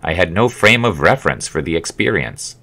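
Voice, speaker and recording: A man narrating his own memoir as an audiobook, with expressive, wry storytelling. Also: low voice, fairly quick, vocal fry. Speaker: author reading own memoir.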